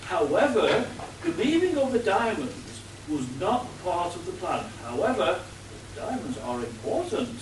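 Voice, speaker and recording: A man playing a clever, long-suffering butler in a comic radio play, deferential, measured and dry. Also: high voice, big pitch swings. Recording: echoey room, distant mic, noisy.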